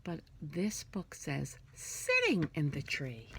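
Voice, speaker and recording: A woman reading a picture book aloud to young children in a theatrical, singsong manner, dropping into hushed whispers. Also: low-pitched, huge pitch swings, slow, slight background noise.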